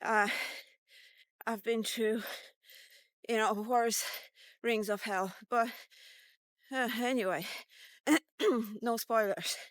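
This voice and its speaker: Woman, fifties, casual vlogger, sarcastic and conversational, slow-paced, very dry close sound. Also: a little high, steady tone, no echo. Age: 50s. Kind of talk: rambling casual rant on a walkie-talkie vlog. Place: outdoors on a walk.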